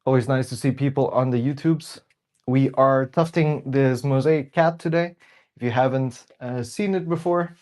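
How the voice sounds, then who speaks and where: A man's voice: medium pitch, normal speed, darkish tone. Sniffly man chatting on a livestream. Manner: chatty, greets viewers by name. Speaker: livestream host. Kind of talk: casual live-stream chat while working.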